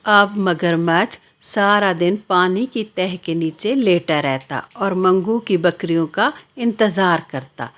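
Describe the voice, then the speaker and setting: A woman's voice: lowish voice, slow, hardly any pauses, fairly bright tone. A woman narrator reading a scripted children's story.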